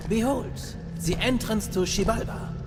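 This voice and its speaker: An actor playing a megalomaniac video game villain, grandiose, taunting and formal. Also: male voice, high voice, moderate pace, loud music behind the voice. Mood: ominous. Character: megalomaniac villain, grandiose, mocking, theatrical treasure hunter. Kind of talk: video game cutscene acting.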